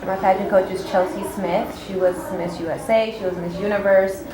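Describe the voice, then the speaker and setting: A woman's voice: medium pitch, little pitch movement, fairly quick, roomy sound, crowd noise, rough recording. A young woman from California, a pageant titleholder, talking openly and chattily in a talk show interview with plenty of fillers. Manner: open, chatty, many fillers.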